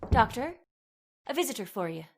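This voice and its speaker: A woman's voice: on the high side, very animated, moderate pace, gravelly, noisy background. An actress playing a polite, deferential female servant in old-time radio horror style, speaking formally. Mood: formal, courteous.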